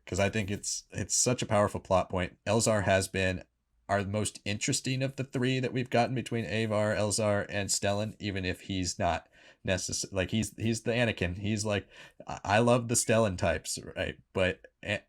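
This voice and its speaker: A man hosting a review show, giving his opinions in casual conversation. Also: deep voice. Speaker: book review show host.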